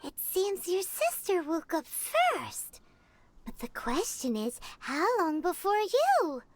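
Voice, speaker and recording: A woman voicing a small, childlike fairy guide in a video game, squeaky, chatty and inquisitive. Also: wildly swooping pitch, slow, noisy background, heavily acted. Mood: curious, thoughtful. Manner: refers to herself by name, exclamatory, inquisitive.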